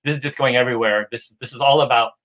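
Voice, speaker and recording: Californian man on a video-call livestream, bright and forward tone, eager and rambling. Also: medium pitch, steady tone, very fast, low-quality recording. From United States, California. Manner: rambling, enthusiastic, many restarts. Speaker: guest. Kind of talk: casual livestream chat.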